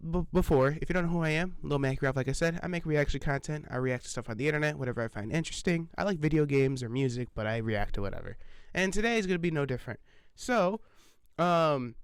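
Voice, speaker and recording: A man's voice: mid-range voice, clean tone, no echo, clean studio sound. A man hosting a reaction channel, talking in a loose, rambling way with lots of fillers and restarts, swearing casually and laughing at himself, sitting at his desk with an old microphone. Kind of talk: casual rambling vlog chat. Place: home recording setup, sitting at desk. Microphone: old desk microphone, sitting close.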